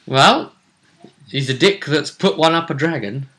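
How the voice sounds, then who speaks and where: Man, bright forceful voice, casual host, deliberate storytelling, tabletop game recap. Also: a little high, expressive. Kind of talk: casual recap of a tabletop role-play tournament.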